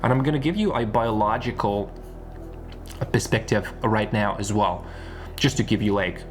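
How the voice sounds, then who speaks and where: A man's voice: lowish voice, normal speed, faint music behind. A man giving a casual explanatory monologue like an online coach, repeating points for emphasis and often checking for agreement. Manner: emphatic and repetitive, often seeking agreement with tag questions. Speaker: YouTube business and spirituality coach.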